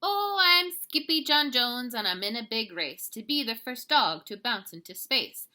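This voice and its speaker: A woman voicing an excitable little boy kitten who plays a space-hero Chihuahua, dramatic and singsong, with a put-on Mexican Spanish accent. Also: a little high, unhurried, pitched above the performer's own voice, exaggerated voice. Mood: excited.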